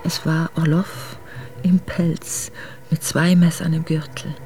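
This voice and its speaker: An actress playing an earnest, reflective exiled noblewoman, narrating her memoir in a measured way for a radio drama. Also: sits low, little pitch movement, dark tone, whispered. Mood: grave, reflective. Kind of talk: literary first-person radio drama narration.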